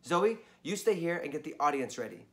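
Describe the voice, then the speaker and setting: A man voicing an eager young girl who pleads and leads her friends in play, a little hoarse. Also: fairly high pitch, fairly quick, voice pushed up, natural voice. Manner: excited, pleading.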